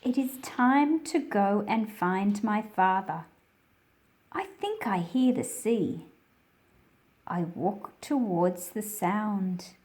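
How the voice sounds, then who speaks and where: A woman's voice: medium pitch, slow pace, darkish tone. A woman reading and explaining a picture book aloud for young listeners. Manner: addresses young listeners with questions. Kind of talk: children's story read-aloud and explanation.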